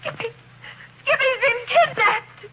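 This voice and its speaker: A woman's voice: very high voice, measured pace, pushed and bright, slight background noise, exaggerated voice, low-quality recording. An actress playing a loyal young female companion, urgent and breathless, in 1940s radio acting style. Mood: alarmed.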